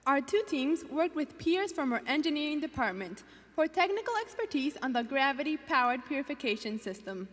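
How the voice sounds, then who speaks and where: A woman from Ecuador recites her part of a team presentation in a careful, even way. Her voice is high. A long hall reverb trails each phrase. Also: brisk. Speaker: student presenter. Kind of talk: rehearsed competition presentation.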